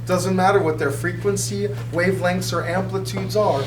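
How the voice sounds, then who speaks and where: A man's voice: high-pitched, steady tone, normal speed. Middle-aged man lecturing in an echoey classroom. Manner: conversational, checks in with students often. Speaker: high school physics teacher. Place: classroom at a whiteboard. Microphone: far camera. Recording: echoey classroom from far off with hum.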